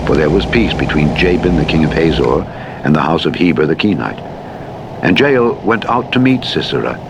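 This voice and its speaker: A man narrating the Bible as an audiobook in archaic English, with a solemn, measured, formal delivery. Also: sits low. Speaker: scripture narrator. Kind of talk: scripted Bible audiobook reading, King James English. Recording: vehicle engine noise throughout. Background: truck driving noise from a simulator game.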